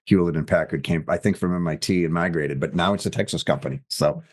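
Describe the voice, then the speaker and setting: Male podcast host, lowish voice, chatty, jokey, rambling questions. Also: fast, breathy edge. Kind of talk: interview hosting.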